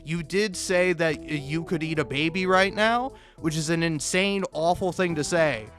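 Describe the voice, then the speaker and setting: Higher-pitched man, rambling comedic monologue, stammering restarts, self-deprecating, sweary, music behind. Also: moderate pace, a bit bright. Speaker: video maker. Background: background music.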